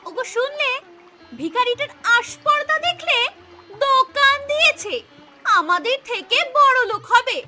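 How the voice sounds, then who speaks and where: A woman plays a sneering, villainous wife in a cartoon. Her very high voice is scornful and full of complaint, melodramatic, with music clearly playing behind. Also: animated, unhurried.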